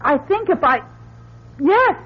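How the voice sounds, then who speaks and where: A woman's voice: high-pitched, very animated, slow, full character voice. An actress playing an anxious but plucky woman in a radio drama, speaking in a hesitant, effortful way. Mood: cautious, halting. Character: anxious but plucky woman scouting a dark escape tunnel. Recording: dull, muffled radio recording.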